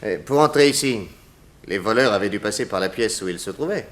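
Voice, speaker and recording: An actor playing a self-assured, polite and inquisitive private detective in a 1960s French radio drama. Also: male voice, lowish voice, lively intonation, a little fast, a bit bright.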